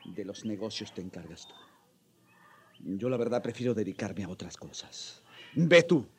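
Agitated priest, swinging pitch. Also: male voice, very animated, normal speed, slight background noise. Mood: weary, insistent. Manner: agitated, repeats words insistently. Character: weary, troubled Spanish priest turned reluctant colonial landowner. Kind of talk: Spanish-language radio drama acting.

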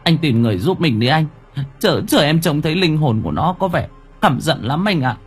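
A male narrator puts on a frightened young woman in a horror audio story, her pitch lifted well above his own. She stammers and repeats herself as she pleads for help, with music behind her. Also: very animated, brisk, slightly rough. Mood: anxious, fearful. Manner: stammering, repeats words in panic.

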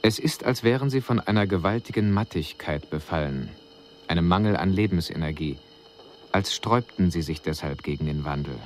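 An actor playing a male alien emissary who reports on humans in a measured, formal, analytical way in a radio drama. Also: low-pitched, expressive, slight background noise. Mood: sober, analytical.

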